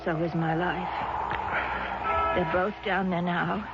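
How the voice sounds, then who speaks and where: An actress playing a distraught, accusing sister, grieving and frightened, in intense 1970s radio drama style. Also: female voice, fairly low pitch, fairly even intonation, slow, over loud music. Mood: numb, bleak. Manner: intense, melodramatic, emphatic. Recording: dull, noisy vintage radio recording.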